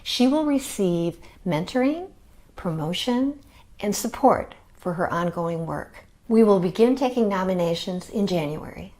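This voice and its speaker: A woman giving a warm, enthusiastic scripted announcement of an award. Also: medium pitch, animated, measured pace.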